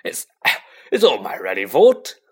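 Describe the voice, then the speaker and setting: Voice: medium pitch, sing-song, a little slow, fairly bright tone, breathy edge, exaggerated voice. A storybook reader voicing a huge, emotional, tender-hearted man with a blustery, blunt delivery. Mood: sobbing, remorseful.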